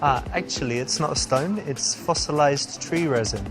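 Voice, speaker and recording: Male presenter, conversational, explanatory coaching delivery, music clearly behind. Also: on the high side, moderate pace. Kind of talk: casual instructional commentary and acted conversation.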